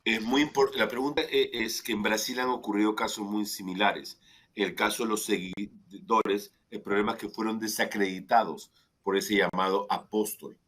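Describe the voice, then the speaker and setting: Low-voiced man moderating a panel. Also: fairly even intonation, normal speed, a bit bright. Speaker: moderator and interpreter.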